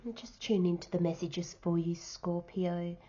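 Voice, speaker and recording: A woman giving a tarot reading, nasal and croaky from hay fever, speaking softly and sometimes slipping into a whisper. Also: fairly low pitch, steady tone, highs cut off. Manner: soft, unhurried, drops into whispers while laying cards. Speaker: tarot reader.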